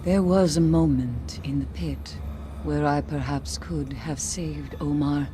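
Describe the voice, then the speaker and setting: An actress playing a solemn, grieving survivor in a video game, haunted by lost friends, speaking deliberately and formally. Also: female voice, low-pitched, fairly even intonation, mellow, slight background noise. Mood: mournful, reflective. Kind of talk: video game cutscene acting.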